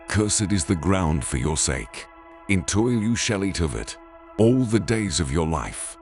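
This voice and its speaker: A man's voice: low-pitched, heavily acted. A man playing God as a stern, solemn and authoritative judge in a dramatized Bible reading. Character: God, stern commanding male judge pronouncing curses. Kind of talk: dramatized Bible audio acting. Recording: background music under the voice.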